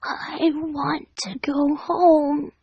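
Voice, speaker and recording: A woman voicing a weak, suffering female pegasus pony, choked, breathy and sobbing. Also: high voice, level tone, extremely slow, no echo, lo-fi sound, voice pushed up, exaggerated voice. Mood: pleading.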